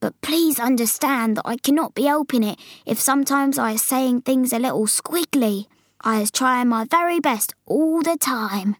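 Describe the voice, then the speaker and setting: A woman's voice: high-pitched, normal speed, no echo, studio quality, voice pushed up. A woman voicing a very old, forlorn but friendly male giant in a storybook, drawing out mangled words with great emphasis. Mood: forlorn, earnest.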